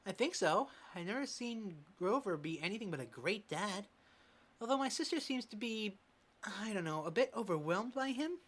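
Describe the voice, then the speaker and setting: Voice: medium pitch, sing-song, normal speed, a bit bright, voice pushed up. A performer voicing a chatty, gossiping middle-aged aunt in a fan dub. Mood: gossipy. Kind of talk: amateur fan dub of a medical drama.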